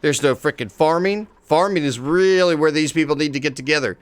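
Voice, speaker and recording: A man's voice: fairly high pitch, brisk. A man live-streaming a game, chatting casually with viewers and swearing freely as he plays. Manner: excitable, swears casually, talks to chat.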